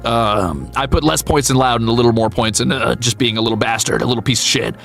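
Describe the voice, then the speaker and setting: A man streams casually over soft background music. His voice is bright and forceful with plenty of gravelly fry. He talks candidly and playfully, swearing and mocking himself as he answers viewers. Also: medium pitch, quick pace. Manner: candid, playful, profane, self-deprecating. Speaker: VTuber streamer and voice actor.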